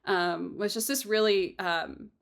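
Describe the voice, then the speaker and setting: A woman's voice: medium pitch, vocal fry. A woman talking casually and reflectively, earnest and effusive, often circling back and repeating herself as she goes. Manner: earnest, effusive, halting with self-repetition. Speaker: audio drama creator and host.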